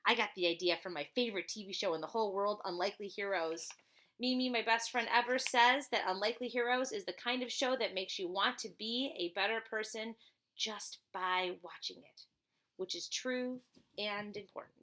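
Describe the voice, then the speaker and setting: A woman's voice: medium pitch, moderate pace, bright, forceful tone. A woman reading a children's storybook aloud as a teacher would, expressively and with chatty asides.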